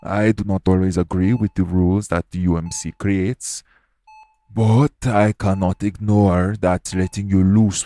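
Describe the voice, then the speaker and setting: A man acting a formal, patient male monster doctor with measured, stern speech in an audio roleplay. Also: deep voice, dark tone.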